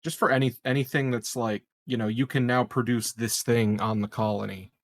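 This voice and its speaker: A man's voice: mid-range voice, steady tone, normal speed. A man playing a tabletop game, rambling in casual chat with lots of "like" and "you know" and some swearing. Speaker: player.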